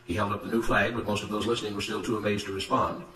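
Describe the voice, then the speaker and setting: Guy narrating a story, quick and bright voice, bit of room echo and faint noise. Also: lowish voice, little pitch movement, far from the mic.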